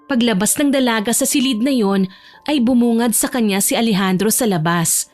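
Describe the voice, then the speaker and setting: A woman's voice: on the high side, moderate pace, dry recording. A woman reading scripted romantic story narration as the narrator of an audio drama. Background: soft music.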